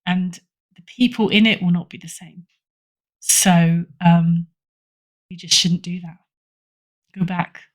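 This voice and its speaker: A woman's voice: on the low side, fairly even intonation, takes it slowly, long pauses. A British woman chatting casually as a podcast guest, often correcting herself and repeating phrases for emphasis. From Britain. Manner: hesitant, self-correcting, emphatic repetition. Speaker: podcast guest, horror fiction editor. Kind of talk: casual interview chat, recommending stories.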